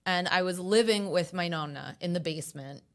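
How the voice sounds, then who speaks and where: A woman in her thirties from the USA, whose first language is Italian, talking as a podcast guest in a lively, wry, self-mocking way. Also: lowish voice, a little fast. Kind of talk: casual interview chat.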